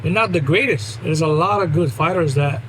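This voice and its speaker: Moroccan-accented guest in his 30s, fairly high voice, plain emphatic English, noisy recording. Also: a little fast. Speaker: guest, professional fighter and trainer. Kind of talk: casual interview chat. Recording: noisy background.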